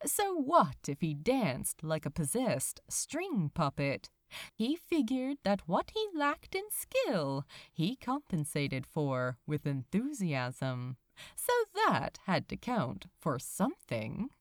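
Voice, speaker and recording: A woman narrating a scripted story expressively, in a tender, unhurried storytelling manner with deliberate pauses. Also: on the low side, huge pitch swings, dry recording, studio quality. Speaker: audiobook-style fan fiction reader.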